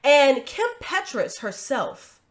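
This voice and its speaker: A woman's voice: sits high, sing-song, takes it slowly. A woman hosting a commentary video, talking casually with a stuffy nose from a cold, emphatic and chatty with frequent tag questions.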